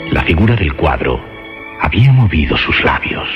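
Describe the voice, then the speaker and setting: A man narrating a suspense radio drama in measured, dramatic phrases with pauses. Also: rumbling low, sing-song, music in the background. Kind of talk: dramatic scripted horror narration. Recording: muffled lo-fi radio drama recording.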